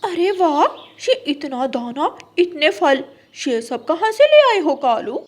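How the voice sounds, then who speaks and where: A woman voicing a demanding, greedy crow wife in a cartoon story, excitable and emphatic. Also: high-pitched, slow pace, heavily acted. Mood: delighted. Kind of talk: Hindi cartoon story dialogue.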